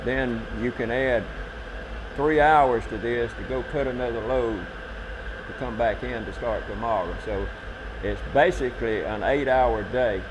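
An older man, a syrup maker explaining his work on his farm in a plain, unhurried way. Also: mid-range voice, noisy background. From southern United States. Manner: plain-spoken, unhurried farmer's talk. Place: farm, outdoors and in a cooking shed.

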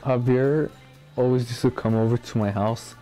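A man in his early twenties, interviewed indoors in a small room, speaking calmly with slight hesitations. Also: mid-range voice, normal speed, soft background music. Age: 20s. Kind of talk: documentary interview.

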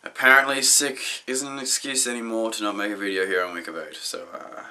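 A young man vlogs casually in a low voice made husky by being sick. His tone has a bright edge, and he rambles in a dry, self-deprecating way. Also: steady tone, a little fast. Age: young adult. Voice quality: husky, deep from illness. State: sick, voice gone deep. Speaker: video blogger. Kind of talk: rambling casual vlog to camera. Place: small room indoors.

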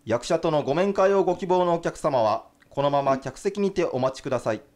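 A man plays a courteous theatre announcer in a radio drama. His voice sits a little high, with formal, scripted politeness. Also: lively intonation, brisk, darkish tone, close to an everyday voice. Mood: polite, formal.

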